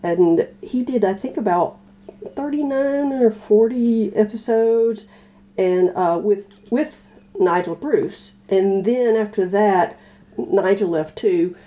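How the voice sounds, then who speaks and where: A woman talking casually as a podcast guest, explaining things in a halting way. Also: medium pitch, warm tone. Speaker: podcast guest, old-time radio fan. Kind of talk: casual conversation.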